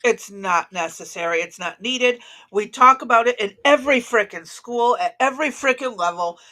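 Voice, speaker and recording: A woman's voice: on the high side, normal speed, sharp tone. A woman hosting a casual political commentary show, speaking in a blunt, combative, profane way. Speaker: talk show host.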